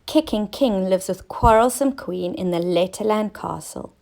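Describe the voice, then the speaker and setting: A woman teacher narrating a scripted children's story, expressive and clearly enunciated for young listeners. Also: mid-range voice, unhurried. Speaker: teacher reading stories to children.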